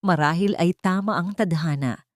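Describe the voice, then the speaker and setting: A woman's voice: fairly low pitch, moderate pace, no echo, clean studio sound. A woman reading a scripted dramatic story as its narrator.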